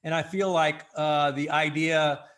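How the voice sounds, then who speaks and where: A man's voice: fairly high pitch, fairly even intonation, unhurried, fairly bright tone. Man asking rambling questions. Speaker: host. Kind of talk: interview questions.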